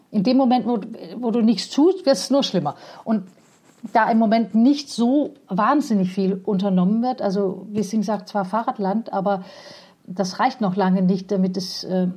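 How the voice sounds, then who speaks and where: Woman guest, warm and dark in tone, hesitant but outspoken interview answers. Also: mid-range voice. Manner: thoughtful, hesitant, many fillers, frank. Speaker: guest, cycling and city mobility advocate.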